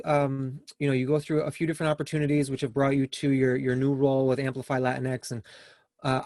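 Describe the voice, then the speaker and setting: A man's voice: mid-range voice, a little mellow, no echo, studio quality. A man hosting a university alumni podcast, opening with a scripted welcome and asking friendly questions. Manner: friendly, scripted introduction, then loose questions. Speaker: podcast host.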